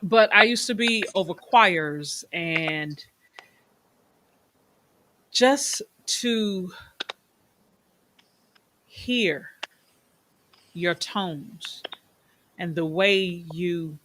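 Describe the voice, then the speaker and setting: A woman's voice: fairly low pitch, drawn-out words, pauses often, a bit bright. Chatty middle-aged woman hosting over noise. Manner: chatty and enthusiastic, stretches words for emphasis. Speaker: host. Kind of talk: casual interview chat.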